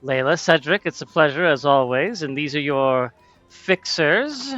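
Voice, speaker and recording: A man's voice: on the high side, animated, a little slow, fairly bright tone, no echo, muffled recording, soft background music. A man voicing a poised, businesslike woman employer who greets new hires with formal politeness. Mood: gracious.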